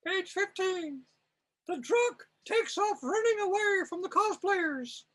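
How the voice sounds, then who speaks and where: Very high-pitched, slow, wry woman. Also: animated, a bit bright. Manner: wry, amused. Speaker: narrator of a comic reading. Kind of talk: improvised, casual scene description.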